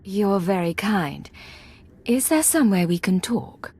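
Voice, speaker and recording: A woman playing a cool, probing secret agent in a video game, composed, dry and measured. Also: mid-range voice, lively intonation, a little mellow, husky. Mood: polite. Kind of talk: cinematic video game dialogue.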